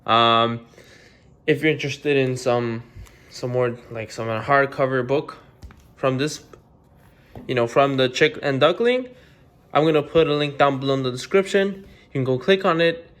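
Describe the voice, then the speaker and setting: A man's voice: medium pitch, normal speed, pauses often, a bit bright. A man hosting a children's read-aloud, narrating a picture book and chatting informally to viewers.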